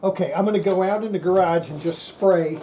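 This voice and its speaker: A woman's voice: on the low side, fairly quick, mellow, a little hiss or hum. A woman hosting a repair video, talking casually to herself as she works, exasperated and mildly swearing. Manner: exasperated, mutters to self, mild swearing. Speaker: host of a radio repair video. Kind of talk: casual talk while working at a repair bench.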